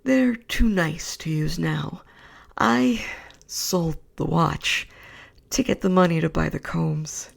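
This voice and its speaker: An earnest young husband speaks in a low, gravelly whisper, as a woman reading a bedtime story puts him on. The voice is husky and unhurried, hushed throughout. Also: exaggerated voice. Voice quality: soft whisper.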